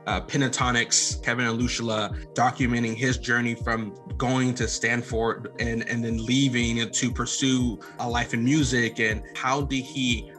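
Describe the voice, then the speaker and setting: A man talking casually as a podcast guest, thoughtful and a little halting. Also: mid-range voice, little pitch movement, fairly bright tone, soft background music. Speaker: podcast guest, producer and director. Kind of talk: casual chat.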